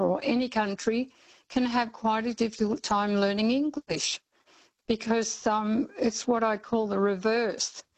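A woman's voice: a little high, darkish tone, rough recording. An older Australian woman calling in to a radio talk show, speaking earnestly with frequent ums. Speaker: caller. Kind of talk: radio call-in chat.

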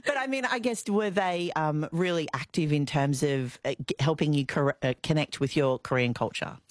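A woman's voice: low-pitched, normal speed, dry recording, clean studio sound. A woman with an Australian accent hosting a radio interview in a chatty, informal, conversational way. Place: radio studio.

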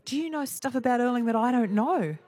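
A woman's voice: on the high side, animated, fairly quick, dark tone. A woman with an Australian accent, chatting live as the host of a late-night radio talkback show.

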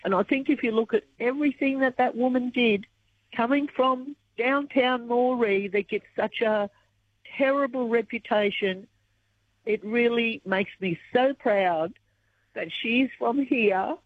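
A croaky woman from Australia speaks in a high voice, earnest and emotional as she answers questions. She comes through a thin, phone-like line with no room sound at all. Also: a little slow, no room sound. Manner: earnest, emotional when recalling the past. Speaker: interviewee. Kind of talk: radio interview.

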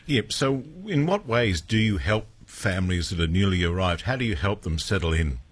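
An Australian man hosting a local breakfast radio show, interviewing a guest in a relaxed, slightly halting way. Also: deep voice, breathy edge. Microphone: studio microphone.

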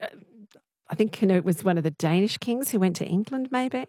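A woman's voice: mid-range voice, animated, fairly quick, warm tone, no echo, studio quality. A woman with an Australian accent hosting a live radio quiz, chatting with callers in an encouraging way.

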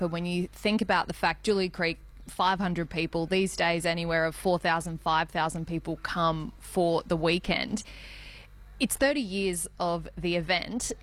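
Friendly Australian radio host woman. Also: fairly low pitch. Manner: bright, friendly, steers the talk with questions. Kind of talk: radio interview.

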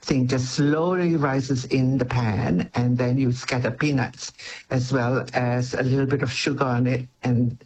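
A man's voice: mid-range voice, steady tone, a little slow, a little mellow, poor audio. A man, a cooking educator and author, talking food on the radio with enthusiasm and frequent laughs. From Malaysia. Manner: enthusiastic, descriptive, laughs often. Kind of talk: radio interview.

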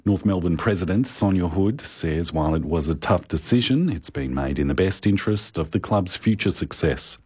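A man reading a news bulletin and the weather in a steady, formal way. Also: low voice, normal speed, hardly any pauses, slightly rough. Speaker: newsreader.

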